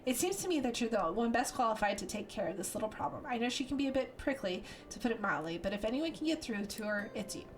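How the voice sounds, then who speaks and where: A woman reading as a caring, smooth-talking young male rogue in a reassuring way. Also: on the high side, animated, quick pace, few pauses, lightly acted. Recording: game music behind voice.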